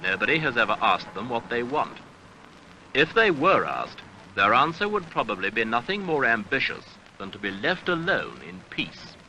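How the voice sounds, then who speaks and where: A man narrates a vintage newsreel with grave, measured rhetoric. His voice is very sharp and forceful, with lively rises and falls in pitch. The old soundtrack carries a faint layer of noise. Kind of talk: scripted documentary newsreel narration. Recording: noisy archival 1970s newsreel soundtrack.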